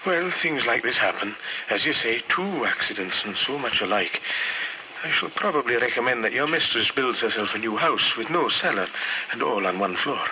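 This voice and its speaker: An actor playing a dry, cynical family doctor in an old radio drama, speaking matter-of-factly. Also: male voice, mid-range voice, expressive, moderate pace, natural voice. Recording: noisy vintage radio recording.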